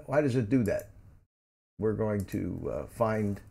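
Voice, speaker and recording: Older man in his seventies, gravelly, lowish voice, casual livestream host, halting chatty delivery. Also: lively intonation, dark tone. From Philadelphia, USA. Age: 70s. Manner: rambling, many ums and restarts; reads and answers viewer chat.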